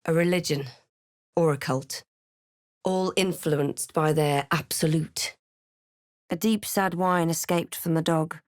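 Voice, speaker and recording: A woman playing a watchful, wry fantasy adventurer in a video game, recounting her journey in a measured storybook way with dry asides. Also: low-pitched, dry recording. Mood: wary, wry. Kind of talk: video game character voice lines.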